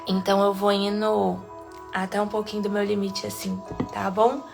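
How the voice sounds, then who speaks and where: A woman with a Brazilian accent and a congested cold leads a casual live devotional, chatty with soft laughs between phrases. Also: medium pitch, little pitch movement, moderate pace, gravelly, faint music behind. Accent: Brazilian Portuguese. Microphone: phone or webcam livestream.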